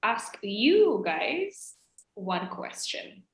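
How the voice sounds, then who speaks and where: A woman speaking as a guest in a casual podcast conversation, lively and playful. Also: mid-range voice, extremely slow, roomy sound, a loud crowd. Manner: lively, playful, reads foreign sayings aloud. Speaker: guest speaker on emotional literacy.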